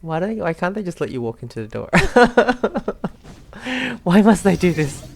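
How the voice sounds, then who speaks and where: Gamer with a creaky voice, chatting while playing. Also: mid-range voice, sing-song, unhurried. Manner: talks to self and viewers, exclaims, giggles often. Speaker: let's play video host. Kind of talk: casual gaming commentary, reacting while playing.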